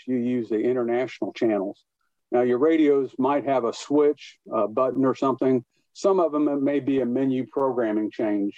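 A man's voice: medium pitch, warm tone, dry recording, highs cut off. A man speaking as an expert guest in a podcast interview, explaining methodically and repeating numbers digit by digit. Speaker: expert guest, boating safety educator.

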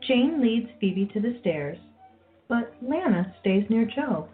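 A woman's voice: a little high, animated, slow, narrow, tinny audio, faint music behind. A woman narrating a radio play, reading scripted storytelling passages.

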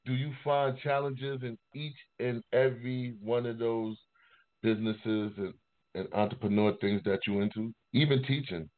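A man hosting a casual interview, speaking haltingly and repeating words as he goes. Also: mid-range voice, steady tone. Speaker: interviewer, host. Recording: thin phone-like call audio.

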